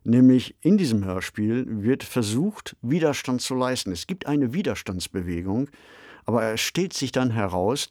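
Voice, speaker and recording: A man co-hosting a discussion podcast, explaining in a measured, conversational manner. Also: mid-range voice, dark tone, no room sound, studio quality. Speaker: podcast co-host and novelist. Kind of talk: conversational radio discussion of science fiction.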